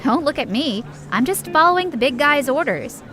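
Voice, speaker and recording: A woman's voice: high voice, lively intonation, moderate pace, a bit bright. A woman playing a tipsy, playful gossip in an audio drama, teasing and flippant as she talks. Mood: gossipy. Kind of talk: fan-made audio drama acting. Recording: music under the voice.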